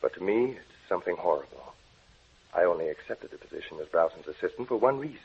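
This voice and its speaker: A troubled young research scientist in a vintage radio drama, low-voiced and husky. He speaks in a tense, brooding, earnest way. The sound is thin and tinny, like an old radio broadcast. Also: sing-song, quick pace, exaggerated voice. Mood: grim. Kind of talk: 1940s radio acting.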